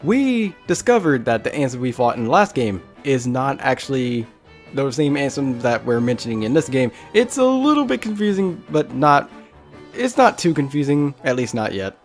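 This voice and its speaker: A man's voice: mid-range voice, big pitch swings, normal speed. A man doing casual Let's Play commentary, rambling and thinking aloud as he plays. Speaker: gaming video host. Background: faint game music.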